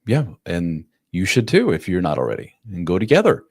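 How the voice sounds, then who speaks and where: Man, late forties, coach, live chat answers, very low voice, dry close sound. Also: huge pitch swings, fairly quick, a little mellow, slightly rough, dry recording. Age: 40s. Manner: casual, plain-spoken, acts out quotes in a raised voice. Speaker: relationship coach. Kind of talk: live-stream Q&A.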